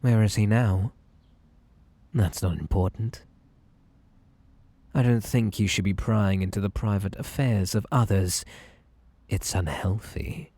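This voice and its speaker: A man playing a possessive, unhinged magical bunny boy in an ASMR roleplay, with a British accent, a breathy voice and a theatrically polite, coaxing, menacing manner. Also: fairly low pitch, unhurried, long pauses, warm tone, no echo. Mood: wounded, unstable.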